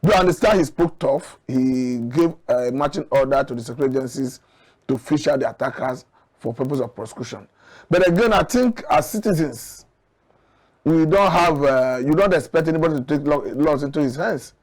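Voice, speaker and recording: Nigerian guy analyst on a news panel, voice a bit high, earnest, making his case. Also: fairly quick, a little mellow. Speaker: studio guest analyst. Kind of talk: current affairs commentary.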